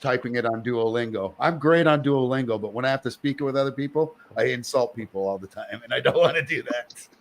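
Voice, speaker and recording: A man's voice: mid-range voice, a little fast, few pauses. A man hosting a casual interview, playful and joking as he asks questions. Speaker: podcast host.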